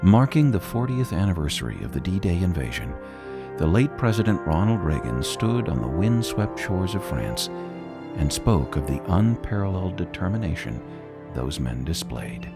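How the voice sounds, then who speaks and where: A man reading solemn scripted radio narration in a measured, dramatic manner. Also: low-pitched, sing-song, over loud music.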